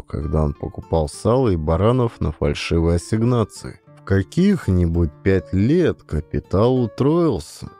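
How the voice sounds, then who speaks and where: Very deep, mellow male storyteller. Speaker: audiobook narrator, actor. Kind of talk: dramatic scripted narration of classic literature.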